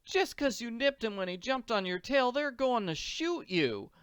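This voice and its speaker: Comic-strip orphan girl, male performer: high, clean voice, plucky and slangy. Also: lively intonation, unhurried, pushed and bright, clean tone, no room sound, clean studio sound. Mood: tearful, horrified. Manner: slangy, exclamatory talk. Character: plucky little orphan girl, slangy, tender toward her dog.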